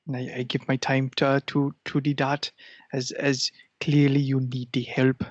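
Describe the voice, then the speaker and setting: A scheming, self-important tomcat played by a man holds forth in a comic podcast. The delivery is grandiose and halting, at a middling pitch, with a dry and slightly dull sound. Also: monotone, dry recording, close to an everyday voice. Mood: sly, commanding. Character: scheming, pompous male cat bent on ruling humans. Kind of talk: improvised comic character interview.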